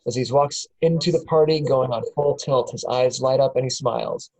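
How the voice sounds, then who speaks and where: Husky man reading stage directions. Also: mid-range voice, normal speed, a little mellow. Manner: plain reading, small chuckles and restarts. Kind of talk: script table read narration.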